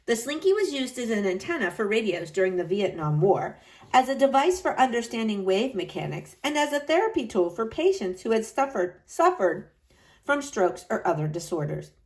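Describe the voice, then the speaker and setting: A woman reading a picture book aloud to children, with slow dramatic emphasis. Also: rough recording. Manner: expressive, slow dramatic emphasis with wide pitch swings.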